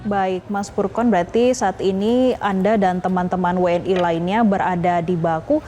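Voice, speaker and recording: Woman anchor, formal and measured, mid voice, faint hiss under it. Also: mid-range voice, steady tone, mellow. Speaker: news anchor. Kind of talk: scripted news reading and interviewing.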